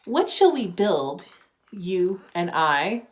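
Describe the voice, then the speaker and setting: A woman in her thirties reading a children's storybook aloud with gentle, deliberate phrasing. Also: on the low side, very animated. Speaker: religious education director reading a children's story. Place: living room at home.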